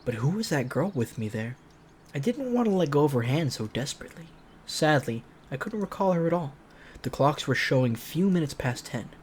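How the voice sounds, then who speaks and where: A man's voice: fairly high pitch, big pitch swings, brisk. Man reading a game aloud, noisy recording. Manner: playful, joking asides. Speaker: streamer reading a visual novel aloud. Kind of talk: dramatic read-aloud narration mixed with casual joking commentary.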